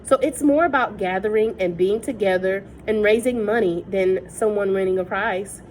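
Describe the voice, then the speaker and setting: A woman's voice: mid-range voice, moderate pace, darkish tone, slight background noise, natural voice, rough recording. A woman voicing a confident teenage girl activist who speaks in a matter-of-fact, earnest way. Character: confident teen girl activist, self-assured.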